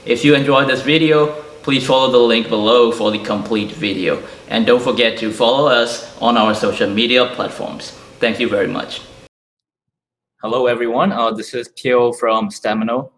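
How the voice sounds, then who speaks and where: A man's voice: mid-range voice, fairly even intonation, a bit bright. A man hosting an online interview series, asking questions with frequent fillers. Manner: halting, many fillers. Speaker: host. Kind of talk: webinar interview.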